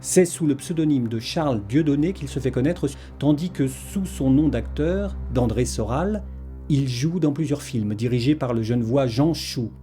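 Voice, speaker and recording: A man's voice: medium pitch, moderate pace, dark tone, faint music behind. A man reading a scripted historical documentary narration as its narrator.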